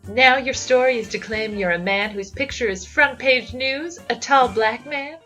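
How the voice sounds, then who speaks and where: Creepy flapper villainess, bright cutting voice, bossy n taunting, noir radio play, music behind. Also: female voice, on the high side, moderate pace. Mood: scornful. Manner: imperious, taunting, cryptic. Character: scornful, eerie flapper woman, secretly spider-like villain. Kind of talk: 1920s noir comedy audio drama. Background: background music.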